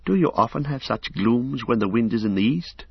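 A man voicing an anxious, excitable young male hobbit in a higher, breathless, pleading voice, from an audiobook reading. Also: moderate pace, gravelly, breathy edge, no room sound. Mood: uneasy. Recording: dull lo-fi audiobook recording.

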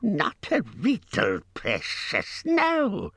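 A wretched, sly creature of the caves in a storybook reading. The voice is screechy and very high, swooping wildly, with slow, drawn-out wails and hisses. It is obsessive and repeats itself in misery. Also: male voice, wildly swooping pitch, a bit bright, breathy edge, dry recording, studio quality, exaggerated voice. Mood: suspicious, sly. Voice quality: shrill, screechy, strained. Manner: drawn-out wailing, hissing, repetitive muttering. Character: wretched, obsessive, wailing cave creature, male, ancient and sly.

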